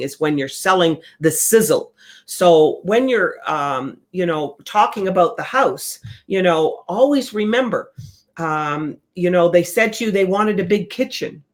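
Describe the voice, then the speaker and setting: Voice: medium pitch, a bit bright. Real estate sales trainer, conversational coaching delivery, repeats key points.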